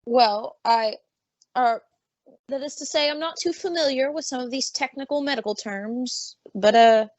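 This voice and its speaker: A woman's voice: high-pitched, moderate pace, no echo, dull audio. A woman playing a stern, stuffy judge in old-time radio comedy, formal and officious in delivery.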